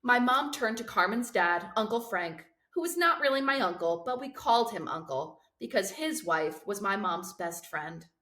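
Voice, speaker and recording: A woman narrates a children's novel in an expressive read-aloud style. Her voice sits at a middle pitch, and the recording has a clearly roomy, echoing sound. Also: moderate pace, few pauses.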